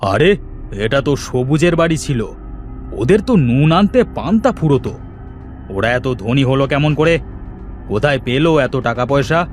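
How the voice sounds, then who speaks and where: A man acts out a scheming, envious village headman in a cartoon fairy tale. He mutters and gloats slowly, his pitch swinging widely. Music plays behind him. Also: lively intonation, lightly acted. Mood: envious, suspicious.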